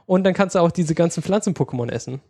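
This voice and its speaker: A man's voice: a little high, animated, quick pace, warm tone, slightly rough, no echo, clean studio sound. A man co-hosting a casual podcast who rambles through his stories and laughs often. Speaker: podcast co-host. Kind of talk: casual chat.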